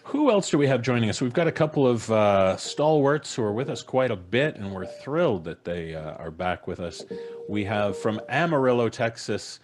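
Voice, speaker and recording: A man's voice: medium pitch, lively intonation, normal speed, faint music behind. A middle-aged man hosting a live stream casually, with jokey, theatrical introductions, on a studio mic. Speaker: podcast host. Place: small indoor home studio, on a video call. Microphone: studio mic on a boom arm.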